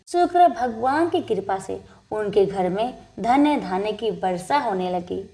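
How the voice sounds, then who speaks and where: A woman narrating scripted devotional folk tales in a deliberate, reciting manner. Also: on the high side, a little mellow. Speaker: devotional storyteller.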